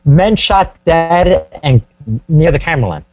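A man's voice: fairly high pitch, expressive, slow, a little mellow, dry recording, faint music behind. A man co-hosting a podcast by call, talking casually in short, quippy lines. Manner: terse, quippy. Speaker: podcast co-host. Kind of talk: casual joking chat over a call. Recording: dull lo-fi call with mains hum.

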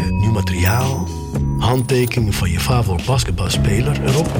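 Actor as passionate collector over loud music. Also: male voice, medium pitch, lightly acted. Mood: wry, matter-of-fact. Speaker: voice actor in an audio drama. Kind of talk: dramatic monologue in a radio play.

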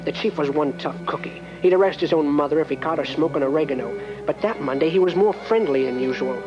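A man's voice: a little high, quick pace, highs cut off, over loud music, lightly acted. An actor narrating as an earnest, naive small-town male cop, melodramatic and jittery. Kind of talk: comic hard-boiled narration.

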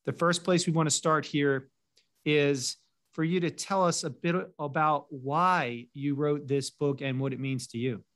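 A man's voice: a little high, fairly even intonation, a little slow, fairly bright tone. A man acting as host, reading a formal guest introduction and then asking questions in a welcoming way. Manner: welcoming, reads the bio then asks questions. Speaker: show host.

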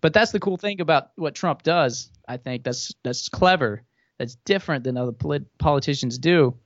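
A man's voice: a little high, moderate pace, no room sound. A man who grew up in Thailand, a podcast guest, talking politics casually and rambling with many restarts. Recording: dull lo-fi, dry sound.

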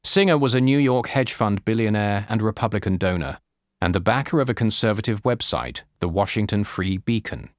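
A man reading a scripted nonfiction audiobook as narrator, with measured, deliberate phrasing. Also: lowish voice, animated, dry recording, clean studio sound.

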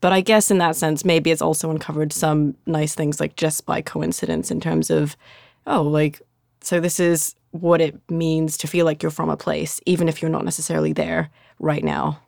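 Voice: mid-range voice, flat delivery, moderate pace, vocal fry, a little mellow, dry recording, studio quality. A podcast host born in London and partly raised in Singapore, chatting casually and saying "like" a lot. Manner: chatty, heavy use of "like".

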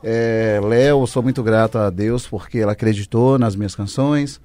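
A man's voice: lowish voice, fairly even intonation, moderate pace, dark tone, dry recording. A man with a Brazilian accent chatting effusively and in a rambling way as a singer-songwriter guest in a radio interview. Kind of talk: casual radio interview chat. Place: radio studio. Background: music.

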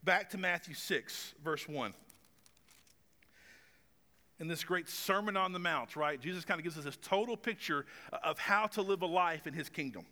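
A man's voice: on the high side, moderate pace, bright, forceful tone, in a large hall. A man of about forty preaching a sermon as pastor in a conversational, self-deprecating way, with some stumbles and fillers. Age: 40s. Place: church stage with long reverb.

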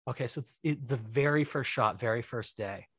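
Guy on a podcast telling production stories, chatty n animated, midrange voice, no room echo. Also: medium pitch, normal speed, breathy edge. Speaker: interview guest, filmmaker. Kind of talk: casual chat, telling behind-the-scenes stories.

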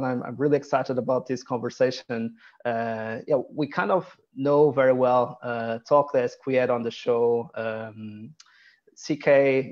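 A man hosting a live video podcast over a video call, introducing his guest with a halting, hesitant delivery. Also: medium pitch, a little mellow, highs cut off. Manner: halting, many ums and restarts. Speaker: podcast host.

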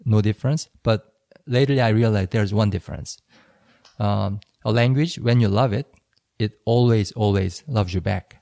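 A man co-hosting a podcast, giving advice in a calm, measured way. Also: lowish voice, gravelly, no echo. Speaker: podcast co-host. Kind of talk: casual conversational podcast.

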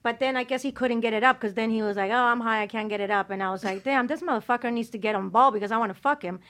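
A woman's voice: a little high, rapid-fire, fairly bright tone. A woman co-hosting a crude casual chat show, blunt and profane.

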